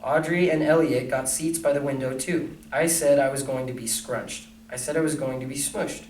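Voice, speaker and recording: A man reads a picture book aloud for children in a conversational storytelling style. His medium-pitched voice sits back from the microphone in an echoey room. There is faint noise around him. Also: steady tone, a little mellow, recorded from a distance. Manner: conversational, pausing to comment and question listeners. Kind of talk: storybook read-aloud with casual asides.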